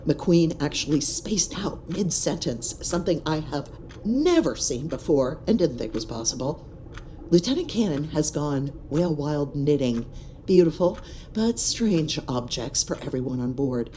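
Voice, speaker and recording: A woman's voice: low voice, big pitch swings, a little slow, warm tone, slight background noise. A woman voicing a brash starship crew member who gossips about the others on board. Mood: gossipy.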